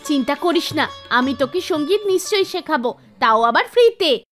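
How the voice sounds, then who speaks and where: A woman voicing an earnest, devout and kind young girl singer in an animated story, speaking emotively. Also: high voice, normal speed, soft background music. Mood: kind, encouraging.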